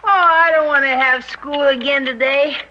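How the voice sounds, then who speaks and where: A woman's voice: high-pitched, steady tone, moderate pace, a little hiss or hum, close to an everyday voice. An actress in a 1960s radio drama voicing a restless young boy who whines and complains. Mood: whiny. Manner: whiny, complaining. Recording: dull old radio recording.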